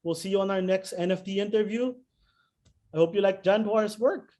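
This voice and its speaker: High-pitched effusive male host. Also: normal speed, a little mellow. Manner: effusive, informal, gushing. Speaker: interview host. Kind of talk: casual video interview.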